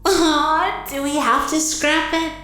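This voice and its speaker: High-pitched, eager young girl inventor. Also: steady tone, pushed and bright, full character voice. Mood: pleading. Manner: giggly, eager, halting with "uh" and "ow". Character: plucky teenage girl inventor turned walking war machine. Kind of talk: audio drama acting. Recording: roomy echo, music underneath.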